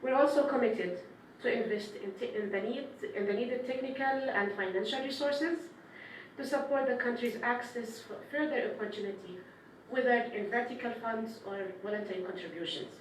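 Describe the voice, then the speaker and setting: A woman's voice: a little high, moderate pace, a little hiss or hum. A woman, a development official giving formal remarks at a press event. She speaks earnestly and often restarts her phrases. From Palestinian, raised in Syria and Jordan. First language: Arabic. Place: indoor press conference room. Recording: echoey room, mic at a distance.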